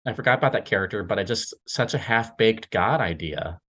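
A man's voice: lowish voice, expressive, fairly quick, fairly bright tone. A man discussing films casually, circling back over his phrases as he thinks aloud. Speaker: podcast panelist.

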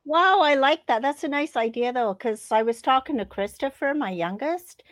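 A woman's voice: sits high, expressive, moderate pace, no room sound. Easygoing woman chatting, dry close sound. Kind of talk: casual chat.

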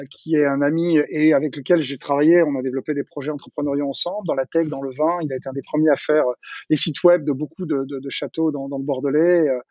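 Middle-aged man, fast and husky, chatty interview guest who restarts his phrases, dry dull sound. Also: on the high side, darkish tone, no room sound. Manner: talkative, many restarts and repeated words. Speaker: entrepreneur interviewee. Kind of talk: casual conversational interview.

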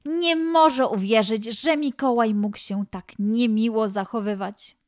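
A woman reading a scripted children's story as narrator, with careful, expressive storytelling. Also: fairly high pitch, unhurried. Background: background music.

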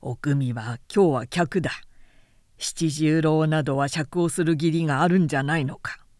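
A woman voicing a composed, easygoing male samurai lord in a storybook reading, calm and casually in command. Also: low-pitched, moderate pace, no echo, studio quality, voice pushed down, lightly acted. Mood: relaxed.

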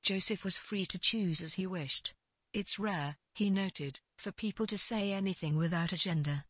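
A woman reading literary fiction as narrator, in a measured and deliberate scripted delivery. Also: mid-range voice, a bit bright, dry recording.

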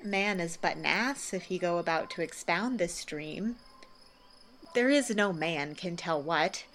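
A woman's voice: lowish voice, expressive, unhurried, a bit bright, a little hiss or hum. A woman playing a boastful, exuberant working man and amateur actor, declaiming theatrically in a Shakespearean radio play. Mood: awed, musing.

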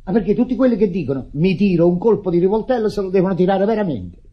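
An actor playing an indignant, self-pitying widower in a radio drama, declamatory and stammering in agitation. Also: male voice, high voice, expressive, quick pace, breathy edge. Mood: agitated, defensive. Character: indignant grieving widower, theatrical, self-pitying, berating his sister. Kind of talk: Italian radio drama acting. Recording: dull lo-fi old radio recording.

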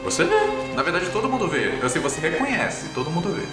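A man's voice: on the high side, very animated, fast talker. A man with a Brazilian accent narrating a tabletop game as game master. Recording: roomy echo, music underneath.

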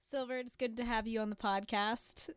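A woman's voice: a little high, fairly even intonation, moderate pace, clean tone. A woman interviewing on a casual podcast in an informal, chatty, encouraging way.

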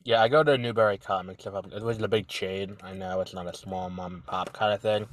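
A man's voice: on the low side, steady tone, a little fast, dry recording, clean studio sound. A man with a stuffy, nasal voice from a cold, co-hosting a podcast in casual chat, with lots of fillers and restarts. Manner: rambling, hesitant, many fillers and restarts. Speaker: podcast co-host.

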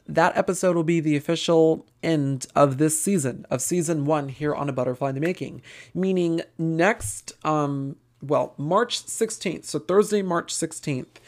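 A woman with a low-pitched voice chats casually as a podcast host. Her voice is raspy and worn out. She rambles and pauses often as she talks. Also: darkish tone. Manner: rambling, halting, with frequent pauses. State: tired voice.

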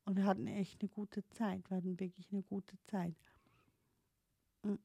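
A woman's voice: medium pitch, flat delivery, normal speed, creaky, dark tone, breathy edge, no room sound. A woman in her late thirties with a Swiss accent, German not her first language, musing hesitantly about her past with many fillers and restarts. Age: 30s. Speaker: streamer. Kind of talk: reflective personal storytelling.

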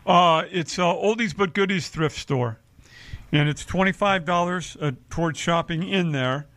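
A man's voice: high voice, measured pace, a bit bright, no echo. Chatty male radio shopping host. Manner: chatty, many uh fillers. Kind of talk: live radio shopping show patter. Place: radio studio.

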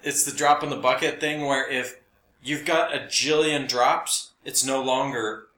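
Bright, wry American man in echoey room. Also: mid-range voice, fairly even intonation, measured pace. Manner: wry, sarcastic exaggeration with mimicked quotes. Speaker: podcast co-host. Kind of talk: casual humorous chat. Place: echoey room, recorded for a podcast and live stream. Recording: echoey, roomy sound.

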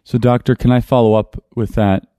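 A man's voice: mid-range voice, little pitch movement, fairly quick, mellow, no echo, studio quality. A man hosting a talk show, putting probing questions to a panel of doctors. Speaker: host. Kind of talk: interviewing a panel.

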